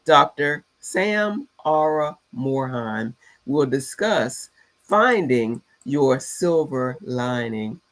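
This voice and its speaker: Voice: medium pitch, expressive, very slow, poor audio. A podcast host who is getting over a cold, introducing and interviewing a guest.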